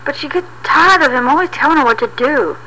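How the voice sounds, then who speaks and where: Slightly high-voiced woman narrating, noisy recording. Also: lively intonation, quick pace, sharp tone. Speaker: narrator of a self-performed audio play. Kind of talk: simple storytelling narration.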